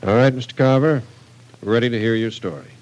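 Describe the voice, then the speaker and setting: An actor playing a stern, authoritative police captain, curt but fair, in an old-time radio crime drama. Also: male voice, medium pitch, darkish tone, lightly acted, rough recording. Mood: stern, formal. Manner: commanding, measured, curt.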